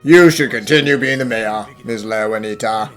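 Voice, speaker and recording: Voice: medium pitch, moderate pace, a bit bright, faint music behind, close to an everyday voice. An actor playing a friendly, encouraging townsperson in an anime-style fan dub. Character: friendly festival supporter encouraging the mayor.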